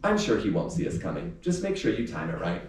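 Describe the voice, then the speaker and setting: A man's voice: on the low side, huge pitch swings, quick pace. A man voicing a smiling, chirpy murderous wife in a thriller read aloud, casual about killing. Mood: calmly eager. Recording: roomy, echoing live reading, distant mic, faint noise.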